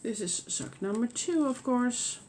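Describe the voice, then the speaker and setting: A woman from the Netherlands with a Dutch accent, hosting a knitting podcast in casual show-and-tell chat and pausing often with fillers and restarts. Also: a little high, lively intonation, a little mellow. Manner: hesitant, many fillers and restarts.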